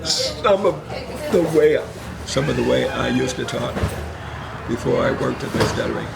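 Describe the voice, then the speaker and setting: An older man speaks in a deeply gravelly voice whose pitch rises and falls a great deal. He tells his story in a measured, explanatory way, with a slight stutter. Loud noise hums under the recording. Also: huge pitch swings. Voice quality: stutters, with pauses and word swaps. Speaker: minister and retired engineer. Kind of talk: interview storytelling.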